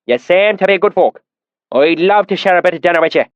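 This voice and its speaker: A high-pitched rustic swineherd with a thick dialect. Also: male voice, lively intonation, fairly quick, dry recording, lo-fi sound. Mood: friendly. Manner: high, loud, drawling voice. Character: lean weathered rural male swineherd, suspicious then friendly.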